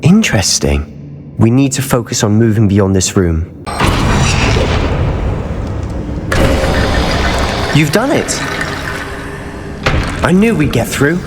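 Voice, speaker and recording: A man's voice: medium pitch, big pitch swings, a little slow, pauses often, very noisy. An actor playing an earnest, driven teenage male student of wizardry in a video game, explaining things intently and articulately. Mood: eager, persuasive. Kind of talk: dramatic video game voice acting. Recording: long cavernous reverb.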